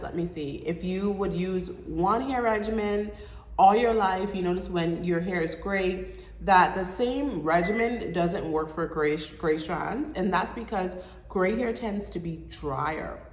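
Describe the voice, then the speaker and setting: Young woman vlog host, nasal with a cold, lowish voice, long reverb around her. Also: slight background noise. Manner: chatty, informal, explaining step by step. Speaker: show host. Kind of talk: casual hair-care vlog. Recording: echoey room with long reverb.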